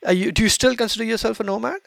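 Male podcast host, high pitch, gravelly edge, halting restarts, dry close sound. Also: fairly bright tone, dry recording. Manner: halting, restarts questions often. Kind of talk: casual interview.

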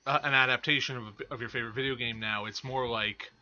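A man's voice: mid-range voice, steady tone, fast, bright, forceful tone, dull audio. A man co-hosting a podcast, talking casually and conversationally about movies and games. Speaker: podcast co-host. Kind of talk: casual movie and game chat.

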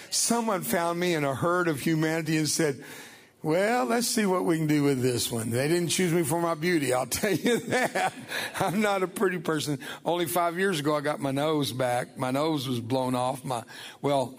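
An older man whose first language was Spanish, giving a folksy, emphatic church testimony as a guest speaker. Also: on the high side.

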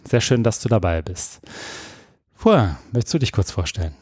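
Husky-voiced male podcast host. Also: fairly low pitch, very animated, normal speed, a little mellow, no echo, clean studio sound. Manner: friendly, structured moderation. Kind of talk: interview podcast.

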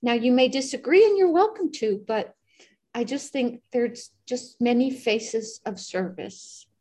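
A woman sharing a personal story in a meditation group, earnest and emphatic, slowing down on key words. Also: fairly high pitch, expressive, warm tone.

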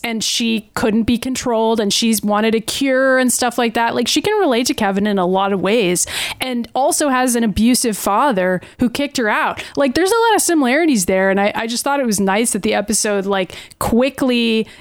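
High-voiced woman chatting casually, close dry sound. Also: moderate pace, clean tone, no echo. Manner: informal, exasperated, opinionated. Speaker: podcast host. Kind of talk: casual recap chat, frequent swearing.

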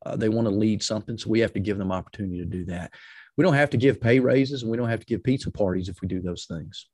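A middle-aged man with a low, loud voice in a dry, close recording. He speaks as an interview guest who coaches on leadership. His delivery is energetic and emphatic, hammering points home by repeating phrases. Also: quick pace, slightly rough, no room sound. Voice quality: deep, loud voice. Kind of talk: explanatory interview talk with advice and personal stories.

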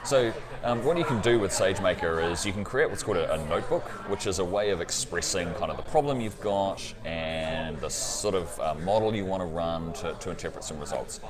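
Deep-voiced man explaining tech, crowd behind. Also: lively intonation, brisk, few pauses. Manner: explanatory, tags sentences with "right?". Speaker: cloud company partner guest. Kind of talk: conference interview. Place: busy conference floor. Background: crowd chatter.

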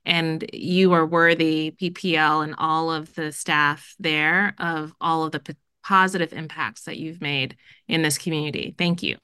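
A woman's voice: low-pitched, steady tone, a little slow, bright, forceful tone, smooth, no room sound, studio quality. A middle-aged woman from Jamaica, a school dean, speaking earnestly in a podcast interview with many fillers.

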